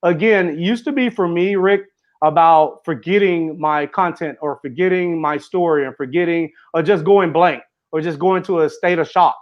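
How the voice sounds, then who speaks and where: Man, high voice, earnest, runs sentences together, muffled lo-fi audio. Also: moderate pace. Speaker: interview guest, author and speaker. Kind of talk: casual interview conversation.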